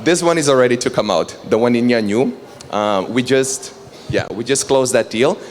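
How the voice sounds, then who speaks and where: A man's voice: medium pitch, moderate pace, a little hiss or hum. Earnest man addressing a panel. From Brazil. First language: Brazilian Portuguese. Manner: earnest, enthusiastic, addresses the audience directly. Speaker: panel moderator. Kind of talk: public panel talk. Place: panel on stage before an audience.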